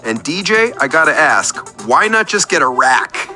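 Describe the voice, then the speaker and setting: Actor playing a needy, theatrical radio caller with swooping intonation. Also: male voice, on the high side, sing-song, normal speed, bright, forceful tone, soft background music, full character voice. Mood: eager, fussy. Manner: confessional, theatrical, wordy storytelling. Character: needy, overlooked, self-pitying man calling a radio show. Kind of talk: scripted comedic radio play monologue.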